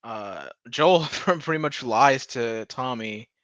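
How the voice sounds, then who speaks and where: A man's voice: mid-range voice, expressive, slow, fairly bright tone, no room sound, lo-fi sound. A man giving a casual, sweary recap rant as a video commentator, often mocking what he retells. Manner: sweary, laughs through his words; mockingly acts out quoted dialogue. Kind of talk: casual ranting TV episode recap.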